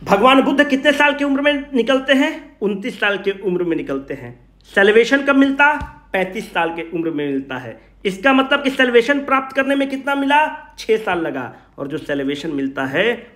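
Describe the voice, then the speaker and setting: High-pitched, animated male teacher. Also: normal speed. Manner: animated, rhetorical question-and-answer, addresses students familiarly. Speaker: exam coaching teacher. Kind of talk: informal exam revision lecture, asking and answering own questions. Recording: roomy, echoing sound.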